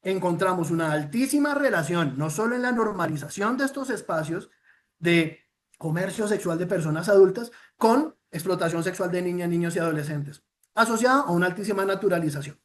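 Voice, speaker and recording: A man's voice: high-pitched, animated, brisk. A man with a Colombian accent giving a formal, earnest presentation on a report, with frequent "eh" fillers and restarts. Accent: Colombian Spanish. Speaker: presenter of a child protection report.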